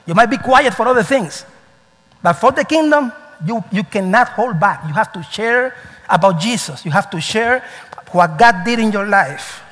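A man preaching a sermon as pastor, animated and storytelling, with nonstandard English grammar. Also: high voice, normal speed. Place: church sanctuary. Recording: reverberant church hall.

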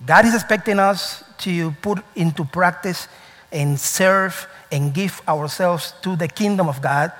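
Animated male pastor in reverberant church. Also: measured pace. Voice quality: slightly high, lively preaching voice with nonstandard English grammar. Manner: animated, storytelling, emphatic. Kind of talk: sermon. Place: church sanctuary.

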